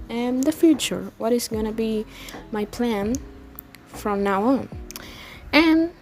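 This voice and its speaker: A young woman of eighteen with a Dominican Spanish accent speaks to her viewers in a casual, personal way. Her voice is a little high and she talks slowly, often searching for the next word as a native Spanish speaker. Music plays behind her in an amateur recording. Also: a little mellow. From Dominican Republic. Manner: halting, searching for words. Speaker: language-learning YouTuber.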